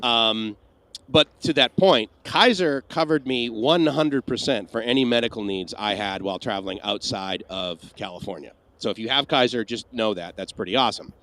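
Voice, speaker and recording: A man's voice: lowish voice, normal speed, pushed and bright, no echo. Chatty man with a dry sound. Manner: chatty, rambling with restarts and asides. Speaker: livestream co-host. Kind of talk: casual Q&A chat.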